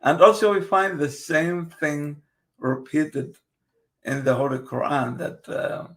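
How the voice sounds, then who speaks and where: Male host, Kurdish native, gravelly, slow, hesitant. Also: fairly high pitch, a bit bright. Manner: halting, many fillers and restarts, slow and careful. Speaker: host presenting his book. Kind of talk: casual video interview.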